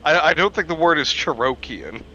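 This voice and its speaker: A man's voice: on the high side, lively intonation, moderate pace, sharp tone. A man chatting casually as a co-host on a muffled, slightly noisy mic, with wry, profane banter. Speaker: co-host commenting on a story. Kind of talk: casual joking chat.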